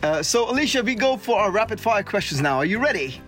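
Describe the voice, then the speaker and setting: Upbeat interviewer firing quick questions. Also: mid-range voice, big pitch swings, fairly bright tone, soft background music. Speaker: interviewer host. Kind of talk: rapid-fire interview questions.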